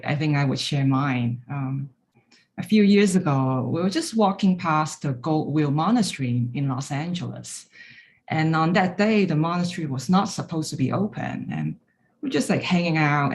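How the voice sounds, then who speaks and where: Woman sharing a personal story. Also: deep voice. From Hong Kong. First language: Chinese. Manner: candid, storytelling, light self-deprecating humor. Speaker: graduating student speaker. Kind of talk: personal reflection talk.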